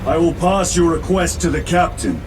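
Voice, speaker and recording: An actor playing a stern veteran space marine lieutenant in a video game, giving clipped, authoritative military orders. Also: male voice, fairly high pitch, expressive, normal speed, noisy background, natural voice. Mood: firm, composed. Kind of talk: dramatic video game acting.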